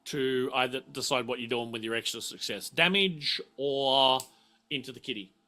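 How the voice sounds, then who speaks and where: A man refereeing a casual tabletop game, halting and often repeating himself. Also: mid-range voice, sharp tone. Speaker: game master.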